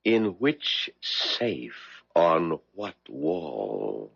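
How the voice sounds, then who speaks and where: An actor in a 1970s radio drama playing a sinister, mocking agent of fate who speaks in a formal, deliberate, sardonic way. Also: male voice, a bass voice, big pitch swings, creaky, fairly bright tone, husky, no echo, full character voice. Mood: stern, cold. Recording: dull vintage radio broadcast recording.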